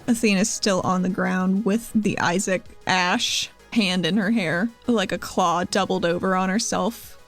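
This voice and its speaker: A woman's voice: lowish voice, fairly even intonation, measured pace, hardly any pauses, soft background music. A woman who plays in a tabletop game, narrating what her character does and feels. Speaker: player.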